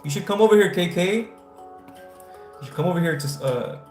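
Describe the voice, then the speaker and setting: A man's voice: high-pitched, lively intonation, brisk, darkish tone. A man from the United States hosting a casual spiritual livestream, answering viewers and giving enthusiastic, affirmation-style blessings. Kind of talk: casual livestream chat, reading viewer comments. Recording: roomy echo, faint guitar music. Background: faint guitar music.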